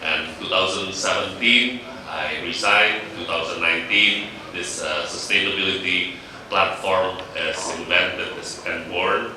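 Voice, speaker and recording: A man speaking on a business panel as a company CEO, halting and searching for words. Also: low voice, fairly even intonation. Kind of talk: panel answer. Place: large conference hall. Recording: echoey hall from far off, hiss.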